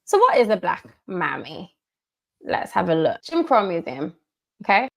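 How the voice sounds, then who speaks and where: A woman from the United Kingdom giving commentary in a measured, emphatic way, repeating her key phrases. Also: lowish voice, sing-song, gravelly. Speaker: commentator in a played video. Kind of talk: monologue commentary.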